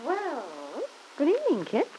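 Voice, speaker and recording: A woman's voice: extremely high pitch, wildly swooping pitch, measured pace, mellow, slight background noise, exaggerated voice, poor audio. An actress playing a smug, needling married woman in an old-time radio soap opera, with an arch, mock-sweet, taunting manner.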